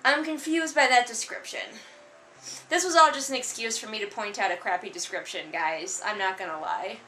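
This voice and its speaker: A woman's voice: a little high, normal speed, bright, forceful tone, reverberant, slight background noise, rough recording. A woman giving casual comedic book commentary in a sarcastic, exasperated, conversational way. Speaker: host of a book read-along.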